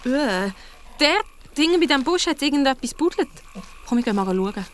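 A woman's voice: sits high, moderate pace. A woman playing a chatty, cheeky young girl detective in a children's radio play, with a Swiss German accent. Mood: uneasy. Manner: lively, colloquial, expressive.

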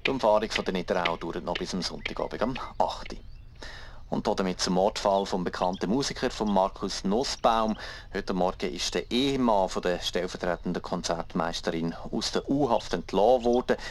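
An actor playing a matter-of-fact local radio newsreader, reading bulletins in a radio drama. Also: male voice, sits low, moderate pace. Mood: neutral, informative. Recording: clearly noisy background.

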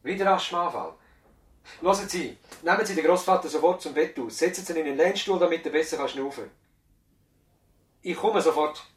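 Matter-of-fact Swiss German country doctor. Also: male voice, on the high side, brisk, pauses often, breathy edge. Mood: brisk, urgent. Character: earnest male doctor standing in at a village practice. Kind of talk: radio play acting, dialogue and dictation. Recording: 1970s radio drama recording.

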